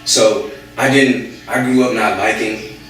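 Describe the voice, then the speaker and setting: A young man with a fairly low voice talks haltingly, restarting often and leaning on fillers. He sits well back from the mic. The room gives his voice a strong, hollow echo. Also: steady tone, a bit bright, soft background music. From United States. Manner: hesitant, many fillers and restarts. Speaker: interviewee. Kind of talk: casual interview conversation. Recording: echoey room, voice from far off.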